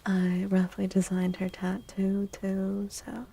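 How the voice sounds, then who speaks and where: A woman speaking softly and breathily, often near a whisper, in a gentle ASMR livestream style. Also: medium pitch, completely flat delivery, slow, creaky, slightly rough. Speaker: streamer and tarot reader. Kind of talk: soft-spoken ASMR livestream chat.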